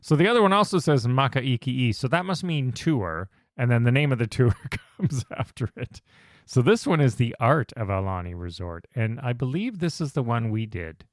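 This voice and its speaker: Male podcast co-host, mid-pitched, chatty and enthusiastic, recorded close and dry. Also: lively intonation, normal speed, no room sound. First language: English. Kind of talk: casual podcast chat.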